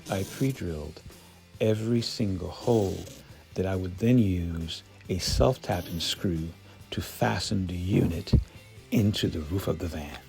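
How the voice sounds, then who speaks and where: A man's voice: low voice, unhurried, vocal fry, darkish tone. A man narrating a step-by-step DIY installation video as a voice-over, recounting the work as a vlogger. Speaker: DIY van build vlogger. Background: background music.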